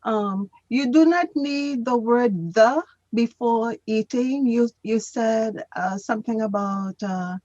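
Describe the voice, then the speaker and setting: A woman's voice: on the high side, slow pace, few pauses, darkish tone, no room sound, lo-fi sound. A woman working as an English teacher, tutoring in a patient, conversational way and repeating phrases to explain.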